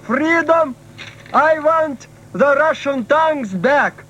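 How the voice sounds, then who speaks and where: A man with a Lithuanian accent co-hosting a comedy radio show, speaking halting, broken English. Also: very high voice, bright, forceful tone, muffled recording, slight background noise. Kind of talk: irreverent comedy radio banter.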